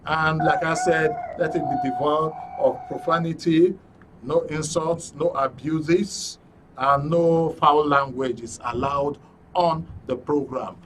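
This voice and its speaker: A man's voice: a little high, fairly even intonation, slow, a bit bright, slight background noise, poor audio. A man with a Nigerian accent hosting a live phone-in programme in a polite, formal way, repeating phrases for emphasis. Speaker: radio and TV host.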